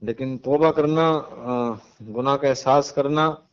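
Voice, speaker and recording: A man speaking as an imam over a phone call. The audio is muffled and lo-fi. He talks in a measured, preachy way and slows down whenever he makes a moral point. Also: medium pitch, clean tone.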